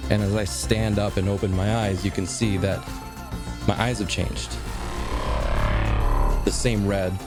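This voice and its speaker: A man improvising dramatic first-person narration as a tabletop roleplayer, in deliberate short phrases with pauses. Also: lowish voice, little pitch movement, over loud music.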